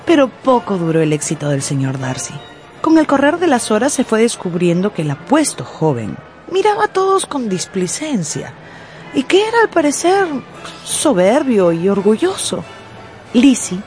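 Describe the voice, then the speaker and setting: A woman narrating a scripted radio drama as storyteller, playfully, with dramatic pauses. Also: medium pitch, darkish tone.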